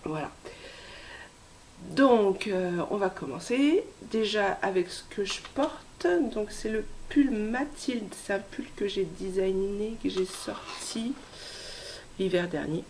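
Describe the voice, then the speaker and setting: A woman in her thirties, hoarse from a cold, hosting a casual knitting vlog with many hesitations and soft asides. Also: mid-range voice, animated, slow pace, slight background noise, poor audio. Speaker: knitting podcast host.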